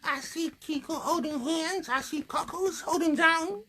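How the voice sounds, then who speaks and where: A man's voice: extremely high pitch, unhurried, sharp tone, pitched above the performer's own voice, exaggerated voice. A man voicing a chatty, sassy young girl puppet who tells stories and complains in colloquial speech. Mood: recounting. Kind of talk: improvised puppet show storytelling.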